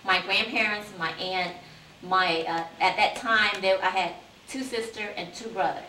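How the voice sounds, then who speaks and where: A woman in her forties, born in Vietnam, a city council candidate telling her life story in an earnest, steady way. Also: medium pitch, unhurried. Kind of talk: interview answers, life story. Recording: echoey room, distant mic, faint hiss.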